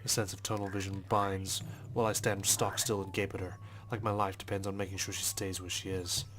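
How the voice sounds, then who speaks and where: A man reading visual novel text aloud as a let's player, in a measured, understated storytelling manner with brief asides. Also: low voice, little pitch movement, fairly bright tone. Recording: music behind the voice. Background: game music.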